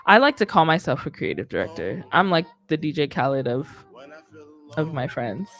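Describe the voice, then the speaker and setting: A woman's voice: sits low, very animated, moderate pace, creaky, fairly bright tone. A young woman hosting a radio show, chatting casually and informally in a loose, rambling way with plenty of slang. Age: young adult. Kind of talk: casual podcast chat. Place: indoor studio with curtains. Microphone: close studio microphone on boom arm, headset on.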